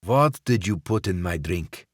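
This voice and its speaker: A man voicing a cold, menacing Russian crime boss who gives slow, commanding threats. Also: sits low, animated, no echo, studio quality.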